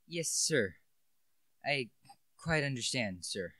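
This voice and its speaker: A teenage male student actor playing a cautious, deferential butler in a radio play, formal and halting. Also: mid-range voice, animated, sharp tone, no room sound, clean studio sound. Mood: meek, formal.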